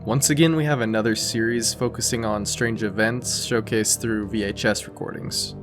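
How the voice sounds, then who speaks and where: A man's voice: fairly low pitch, fairly even intonation, normal speed, music in the background. A man narrating an explainer video in a casual, conversational, scripted style. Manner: conversational, informal.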